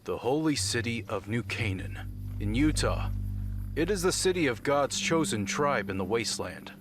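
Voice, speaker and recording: Man voicing pious game trader, sanctimonious, formal sermon-like delivery, middling pitch, light noise. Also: sing-song, moderate pace, natural voice. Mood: pious, solemn. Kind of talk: video game dialogue acting.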